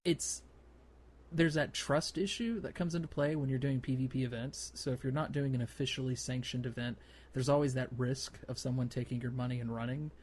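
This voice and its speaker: A man's voice: mid-range voice, fairly even intonation, a little fast, a little mellow, a little hiss or hum. A man hosting a gaming podcast, explaining things casually in a loose, hesitant way. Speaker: podcast host.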